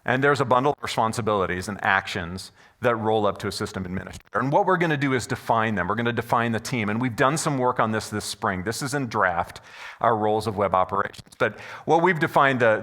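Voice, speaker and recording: Male conference presenter, loud and animated, quick delivery, bright forceful tone. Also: lowish voice. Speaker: conference speaker. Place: conference room before an audience. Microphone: stage microphone.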